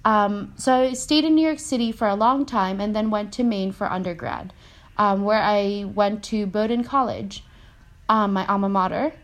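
A woman in her thirties from the Philippines with a Filipino-American accent, speaking thoughtfully with many fillers and pauses as a poet. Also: medium pitch. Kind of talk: casual spoken reflection.

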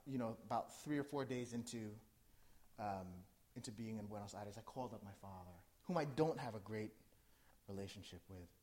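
A man's voice: fairly low pitch, darkish tone. A middle-aged man from New Jersey who chats casually with a live audience, then performs a spoken word poem with rising intensity. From New Jersey, USA. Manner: conversational, asks the audience questions, then recites with rising intensity. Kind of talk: live poetry reading with talk to audience.

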